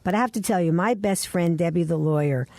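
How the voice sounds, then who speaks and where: Lady host with a mellow voice, chatty and joking, dry studio sound. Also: lowish voice, a little fast, no room sound. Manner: chatty, playful questioner. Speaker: interview host. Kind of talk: radio interview.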